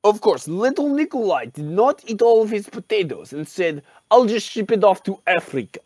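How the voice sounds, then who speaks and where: Man doing a high-voiced, pompous Russian poet. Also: sing-song, a little mellow, dry recording. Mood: proudly patriotic. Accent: put-on Russian. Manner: halting, mock-grandiose, repeats words. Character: pompous, nostalgic Soviet Russian poet comrade. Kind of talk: improvised comedy roleplay.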